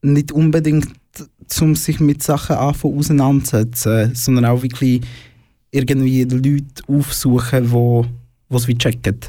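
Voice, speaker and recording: A man's voice: mid-range voice, fairly even intonation, normal speed, dark tone, no room sound. A young man from the former Eastern Bloc, a guest in a casual studio conversation, hesitant and full of fillers, laughing often.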